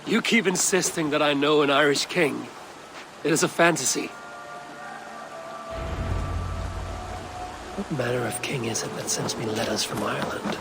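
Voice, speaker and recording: A man's voice: medium pitch, a little fast, natural voice. A man playing a wary, decisive Viking warrior leader in a video game, terse and commanding. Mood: dismissive. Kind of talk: dramatic video game acting. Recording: loud river and weather noise behind. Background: loud outdoor ambience.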